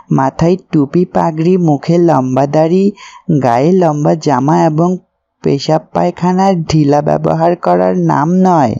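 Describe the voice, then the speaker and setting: A devout young cartoon character voiced by an actor teaches in a slow, mellow voice. The delivery is earnest and preachy, with measured explanations. The sound is slightly dull. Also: medium pitch, gravelly. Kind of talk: scripted Islamic cartoon dialogue.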